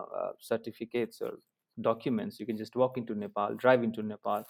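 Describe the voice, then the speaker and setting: A man's voice: fairly low pitch, a little hiss or hum. A man with an Indian accent, an academic guest answering in an interview, with many fillers and restarts.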